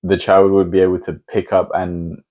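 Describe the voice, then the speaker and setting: Man, podcast host, low-pitched voice, thoughtful, casual. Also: little pitch movement, moderate pace, dull audio. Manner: thoughtful, asks long hypothetical questions. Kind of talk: casual conversation.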